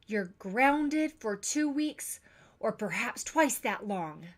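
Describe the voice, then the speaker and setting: A woman voicing a stern adult mother who scolds her daughter in firm, measured words. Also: high-pitched, lively intonation, pitched above the performer's own voice.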